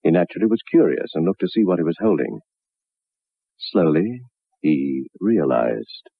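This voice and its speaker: Deep, husky, sardonic horror storyteller. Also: male voice, animated, dry recording, heavily acted. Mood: suspenseful, sardonic. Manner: measured, suspenseful, drily sardonic. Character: sardonic male storyteller of macabre tales. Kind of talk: scripted dramatic horror narration, 1960s radio. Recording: narrow-band vintage 1960s radio recording.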